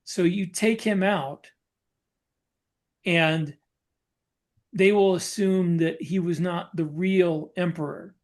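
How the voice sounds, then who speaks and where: A man co-hosting a podcast and talking shop as a novelist, explaining casually in a halting way and reworking his phrases. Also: high-pitched, steady tone, a little mellow. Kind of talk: casual discussion of writing military science fiction.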